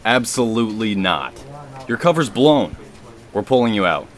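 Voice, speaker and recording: A very low male voice from an actor plays a stern FBI handler in a radio drama. He sounds firm, worried and exasperated with his agent. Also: sing-song, normal speed. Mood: alarmed, firm.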